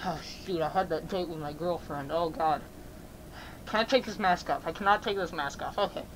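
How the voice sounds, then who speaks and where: A woman's voice: mid-range voice, expressive, fast talker, slight background noise, voice pushed down, lightly acted, poor audio. A woman voicing a panicky, flustered young man who stammers and repeats words in an amateur comedy role-play. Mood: anxious. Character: panicky, stammering, flustered boyfriend hiding behind a mask.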